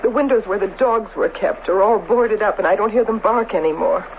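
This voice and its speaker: An actress in an old radio drama playing a frightened, suspicious wife, anxious and pleading, on a tinny, noisy broadcast. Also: female voice, mid-range voice, brisk, close to an everyday voice.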